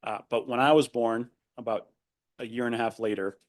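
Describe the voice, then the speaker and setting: A man's voice: medium pitch, steady tone, a little fast. A young man whose first language is Spanish, raised in Argentina, talking thoughtfully as a podcast guest with many fillers and restarts. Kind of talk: casual interview conversation.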